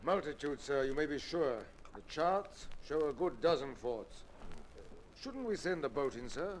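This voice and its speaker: Earnest first officer, fairly high, deferential and anxious, old radio drama with some noise. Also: male voice, lively intonation, unhurried. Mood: worried. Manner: deferential, clipped naval address. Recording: noisy old radio recording.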